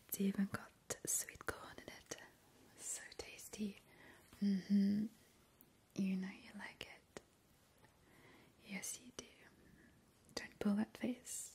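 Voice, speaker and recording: A woman playing a playfully creepy, doting vampire in a breathy, cooing ASMR whisper. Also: medium pitch, dark tone, full character voice. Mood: coaxing, sinister. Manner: slow, lingering, cooing. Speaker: ASMR performer. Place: small indoor kitchen.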